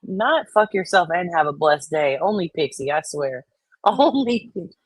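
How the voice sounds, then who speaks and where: A woman bantering casually and reading online comments out loud in a blunt, sweary, folksy way. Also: lowish voice, animated, normal speed, breathy edge, dry recording. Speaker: co-host reading comments aloud.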